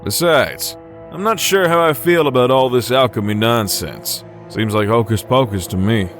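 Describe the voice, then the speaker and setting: A man's voice: mid-range voice, normal speed, fairly bright tone, close to an everyday voice. A man playing a laid-back, skeptical gunslinger from the Old West, folksy and wry, in a game fan dub. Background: music.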